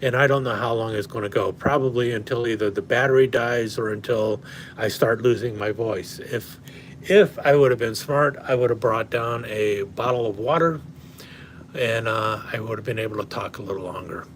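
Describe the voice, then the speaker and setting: A man hosting a casual livestream and rambling with lots of fillers and restarts, his voice slightly hoarse from talking. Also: fairly low pitch, moderate pace, a little hiss or hum. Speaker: knife collector livestream host. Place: at home indoors.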